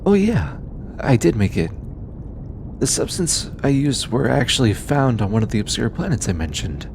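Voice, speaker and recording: A man voicing a gentle, teasing alien scientist in an intimate audio roleplay, eager and given to chuckling. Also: mid-range voice, very animated, normal speed, vocal fry, noisy background. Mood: focused, explaining. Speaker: voice actor.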